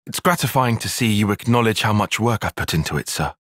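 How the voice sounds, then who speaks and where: An actor playing a young soldier strategist in a video game, formal, bookish and wry, easily flustered. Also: male voice, on the low side, lively intonation, fairly bright tone, no echo, clean studio sound. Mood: earnest. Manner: formal, measured, precise, dryly sardonic. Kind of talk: video game cutscene voice acting.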